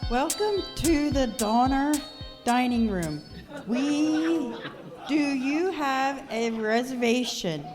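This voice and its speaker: A woman's voice: sits high, expressive, takes it slowly, over music. A woman playing an eerie, formal hostess of a ghoulish dining room, ceremonious, in an amateur radio skit. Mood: ghoulish welcome. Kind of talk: amateur live comedy horror radio skit. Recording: echoey hall, long reverb.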